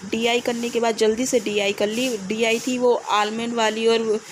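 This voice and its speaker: Young woman, hoarse throat, fever, kinda high voice, lots of uh pauses while giving exam tips. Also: level tone, a little hiss or hum, low-quality recording. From India. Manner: hesitant, with many "uh" pauses. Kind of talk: casual exam-advice vlog.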